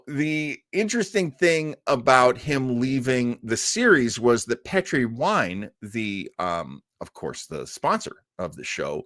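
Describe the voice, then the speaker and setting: A man with a very expressive, varied pitch hosts a casual stream at an easy, slow pace. He rambles and interrupts himself as he hunts for words. The sound is close and dry. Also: no room sound. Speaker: online show host. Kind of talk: casual live-stream chat about old radio shows.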